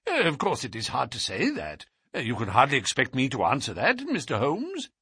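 A man's voice: fairly high pitch, normal speed, breathy edge, no room sound, studio quality, full character voice. A man voicing a nervous, fearful fat man with a reedy, quivering voice for an audiobook story. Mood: nervous, confiding. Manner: stammering, anxious, excitable. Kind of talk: audiobook character voice.

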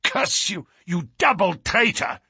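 A man's voice: a little high, lively intonation, bright, forceful tone, breathy edge, no room sound, studio quality, voice pushed up, exaggerated voice. A man voicing a captured, bitter and defiant German spymaster in an audiobook story. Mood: furious. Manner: slow deliberate threats breaking into anguished cries.

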